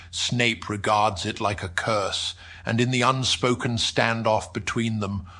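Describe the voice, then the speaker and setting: A man narrating an audio drama as a measured, ominous storyteller, deliberate and suspenseful. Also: on the low side, sharp tone, heavily acted.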